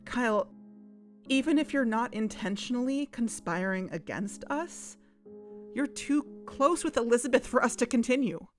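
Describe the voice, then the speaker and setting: A woman's voice: on the high side, lively intonation, moderate pace, faint music behind, close to an everyday voice. A woman playing a wary, determined young woman, earnest and confrontational, in a naturalistic audio drama. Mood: firm, accusing.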